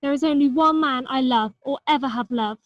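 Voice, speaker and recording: Young woman as a high-voiced, dramatic society lady. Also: a little fast, dull audio, natural voice. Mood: defiant. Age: young adult. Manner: earnest, melodramatic, emphatic. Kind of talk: stage play acting.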